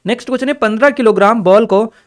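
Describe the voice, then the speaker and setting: A man's voice: high-pitched, very fast. A man explains exam questions as a coaching teacher, repeating key terms and reminders in an instructional way. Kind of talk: explanatory exam-paper lecture to camera or screen.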